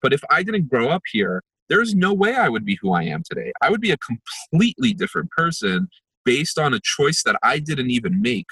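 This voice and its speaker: A man's voice: mid-range voice, pushed and bright, husky, no room sound, poor audio. A young man, a workshop facilitator, talking reflectively in an interview with many fillers and restarts. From born in Israel, raised in New Jersey and New York. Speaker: empathy workshop facilitator, podcast guest. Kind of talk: conversational interview.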